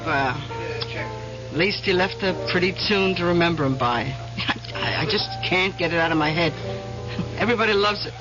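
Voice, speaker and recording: An actress playing a chatty, folksy waitress in an American radio drama, rambling colloquially with an American accent. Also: female voice, fairly low pitch, moderate pace, pauses often, lightly acted. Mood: wistful, gossipy. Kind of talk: American old-time radio drama acting. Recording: muffled radio tape under clear music.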